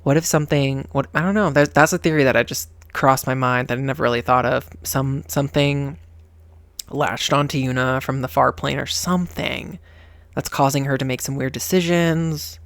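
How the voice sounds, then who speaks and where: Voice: mid-range voice, fairly even intonation, moderate pace, no echo. Dramatic reaction youtuber, rambly emotional casual chat, mid voice, dry close mic. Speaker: reaction video host.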